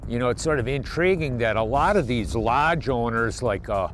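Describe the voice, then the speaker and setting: Excited man fishing on a lake. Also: medium pitch, normal speed, soft background music. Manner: enthusiastic, exclaiming often. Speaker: fishing show host. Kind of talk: casual on-the-water commentary. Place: small boat on an open lake outdoors.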